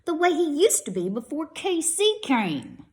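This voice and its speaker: A woman's voice: high voice, sing-song, measured pace, voice pushed up. A woman voicing a young storybook boy who is possessive and anxious about his teddy bear, speaking in emphatic, pleading protest. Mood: insistent.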